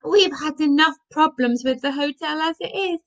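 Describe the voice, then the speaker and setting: A woman's voice: medium pitch, sing-song, measured pace, fairly bright tone, pitched above the performer's own voice. A woman voicing a flustered, fretful father who complains and panics easily in a story. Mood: exhausted, fretful.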